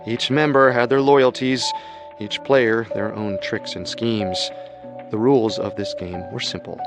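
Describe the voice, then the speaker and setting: Lower-voiced man narrating gravely. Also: fairly even intonation, measured pace, over music. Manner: grave, ominous. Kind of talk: scripted dramatic narration.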